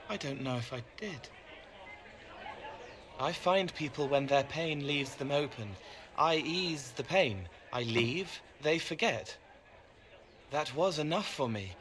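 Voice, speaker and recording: A childlike, troubled young man who was once a spirit. He talks slowly and haltingly, with a plain, wistful tenderness, in video game cutscene style. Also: fairly high pitch, expressive, fairly bright tone. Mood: sad, searching.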